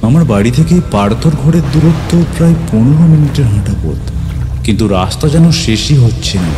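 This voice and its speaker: A man's voice: mid-range voice, animated. Man narrating suspenseful horror over prominent music. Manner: suspenseful, theatrical, with deliberate pauses and repeated words. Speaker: narrator of a ghost story. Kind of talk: dramatic first-person horror storytelling.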